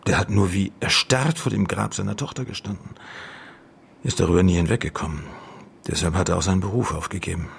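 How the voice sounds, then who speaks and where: A man playing a sober, world-weary lawyer in a naturalistic radio drama, gravelly and husky, telling things in measured, clipped sentences. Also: low voice, a little hiss or hum. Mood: somber, reflective. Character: male lawyer recalling an old case, sober, world-weary.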